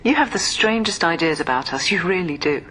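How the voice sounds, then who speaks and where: An actress playing a guarded, earnest woman intermediary in a radio drama, speaking in a measured way. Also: fairly low pitch, expressive, a little hiss or hum, low-quality recording. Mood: guarded, defensive. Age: 30s. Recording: radio drama with loud music behind.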